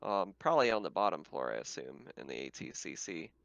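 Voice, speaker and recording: A man acting as game master in a casual tabletop game, narrating haltingly over a slightly dull recording. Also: rumbling low, sing-song, gravelly, fairly bright tone, no echo.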